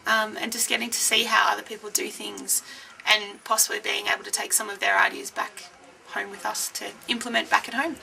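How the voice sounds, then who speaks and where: A woman's voice: medium pitch, level tone, a little fast, creaky, pushed and bright, poor audio. A woman from Australia chatting casually in an interview, with fillers. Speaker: interviewee at a scout event.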